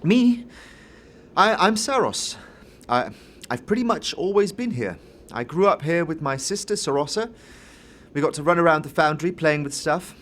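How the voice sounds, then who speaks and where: A man's voice: fairly high pitch, expressive, moderate pace, long pauses, fairly bright tone, pitched above the performer's own voice. A man voicing a nervous, scruffy young man with a hesitant, stammering delivery in a fantasy audio drama.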